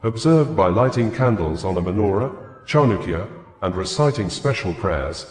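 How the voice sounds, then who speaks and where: Low-voiced male news reader in echoey room. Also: animated, normal speed, a little mellow. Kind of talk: scripted news narration.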